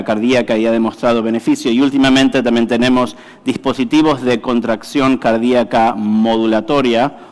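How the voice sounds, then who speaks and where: Brief: male presenter, halting delivery, echoing lecture hall. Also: medium pitch. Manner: halting, many fillers and self-corrections. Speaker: conference speaker, cardiologist. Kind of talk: medical conference talk. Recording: reverberant hall.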